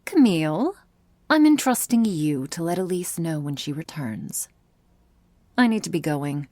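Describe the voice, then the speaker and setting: A woman's voice: low voice, lively intonation, unhurried, a little mellow, dry recording. A woman voicing a grave, worried vampire messenger in an ASMR roleplay, speaking in a stern, formal, urgent manner.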